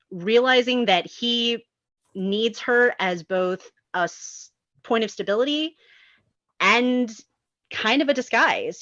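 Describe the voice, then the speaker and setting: A woman's voice: medium pitch, pushed and bright, no echo, highs cut off. A woman in a casual group discussion, talking at length as a chatty, emphatic explainer. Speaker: panelist discussing a film and its source book.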